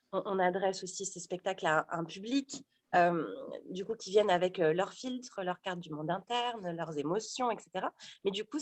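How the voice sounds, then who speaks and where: A woman's voice: fairly low pitch, no echo. Hesitant woman in her thirties, dry sound. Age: 30s. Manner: hesitant, many fillers and restarts. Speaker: guest, sophrologist and stage actor. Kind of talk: casual interview talk.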